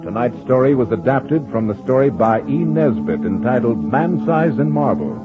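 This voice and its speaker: A man announces in old-time radio style, with very lively intonation at a medium pitch. The recording is tinny and narrow, with clear music behind him. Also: moderate pace.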